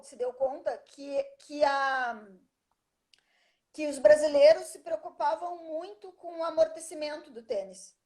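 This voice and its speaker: A woman's voice: high voice, mellow. A middle-aged woman, a teacher chatting as an interview guest and telling a story at length, often checking in with the listener. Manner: chatty storytelling, frequent "né?" tag questions. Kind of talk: casual interview conversation.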